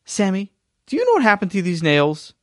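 Man playing a weary dad: calm, patient, sighs often, no room sound. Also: high voice, a little fast, dry recording, clean studio sound, pitched above the performer's own voice, exaggerated voice. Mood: exasperated. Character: patient, weary adult father.

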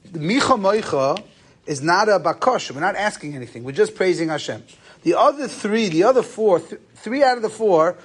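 A man's voice: high voice, slightly rough. A man giving an informal religious lesson, posing questions and answering them, with Hebrew terms mixed into his speech. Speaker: rabbi giving a lecture.

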